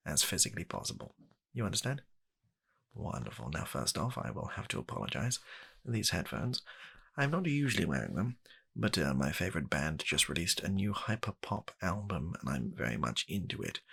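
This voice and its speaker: A man acting as a courteous British matchmaker in a soft-spoken comic ASMR roleplay. His voice is extremely low and heavily creaky, with very animated, swooping intonation. He is fussily polite and hesitant, doubling up his words to reassure the client. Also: heavily acted. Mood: courteous, welcoming. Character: polite, fussy gentleman matchmaker at a dating agency.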